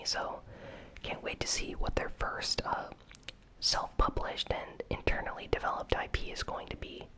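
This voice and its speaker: A breathy whisper with a crisp, bright edge carries a casual ASMR gaming news recap. The host never voices the words fully, staying informal and unhurried, with small hesitations between phrases. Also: low-quality recording.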